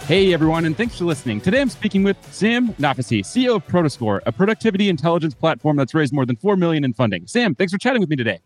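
A man hosting a podcast, reading a brisk scripted intro and then chatting in an upbeat way with his guest. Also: on the high side, big pitch swings, soft background music. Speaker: podcast host.

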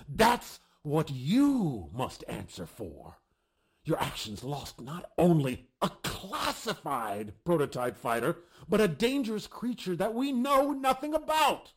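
A man's voice: fairly high pitch, husky, full character voice. A man playing a stern, exasperated official in a sci-fi audio drama, firm and argumentative.

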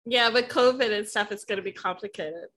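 A woman's voice: mid-range voice, a little fast, noisy background. A woman in her thirties from the Philippines and the United States, hosting a podcast in a casual, chatty, giggly and effusive way. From born in the Philippines, moved to the United States at seven. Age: 30s. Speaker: podcast host.

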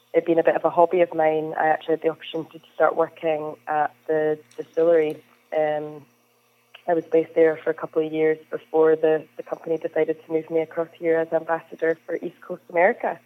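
A woman's voice: low-pitched, completely flat delivery, brisk. A young Scottish woman from the Hebrides, a whisky brand ambassador, chatting as an interview guest with frequent "um"s. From Scotland, Isle of Lewis. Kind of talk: conversational interview answers. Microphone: phone call. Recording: tinny phone line.

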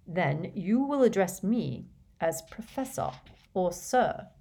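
A woman voicing an elderly male wizard headmaster who speaks in a patient, measured, courteous way, read as part of an audiobook. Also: fairly low pitch, very animated, creaky, mellow, slightly rough, exaggerated voice. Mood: calm. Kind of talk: audiobook character voice.

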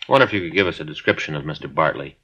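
An actor playing a steady, low-key police detective in a 1950s radio drama. He asks plain, businesslike questions. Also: rapid-fire, husky, heavily acted. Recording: dull lo-fi 1950s radio broadcast.

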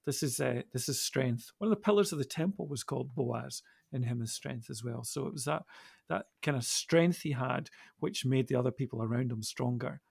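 A man speaking reflectively in a podcast discussion, with halting, thoughtful phrasing. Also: mid-range voice, creaky, a little mellow, breathy edge, dry recording, clean studio sound. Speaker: podcast panellist.

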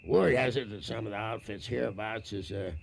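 Nervous trail hand, heavy gravel, halting Western drawl, radio drama with some background noise. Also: male voice, on the low side. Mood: hesitant, uneasy. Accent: American Western drawl. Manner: halting, nervous stammer with small chuckles. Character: hesitant, stammering cowhand speaking for the men. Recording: noisy radio drama recording.

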